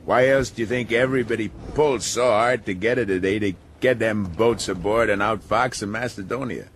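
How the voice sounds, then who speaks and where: An actor playing a domineering, philosophizing sea captain in a radio drama, with a commanding, argumentative manner. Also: male voice, lowish voice, fairly quick, slight background noise, natural voice. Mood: shrewd, commanding. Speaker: ship's captain.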